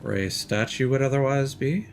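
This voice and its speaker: A man's voice: medium pitch, moderate pace, vocal fry, slight background noise. A man streaming a game, giving loose, rambling commentary as he thinks aloud and teases the characters on screen. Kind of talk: casual let's-play commentary.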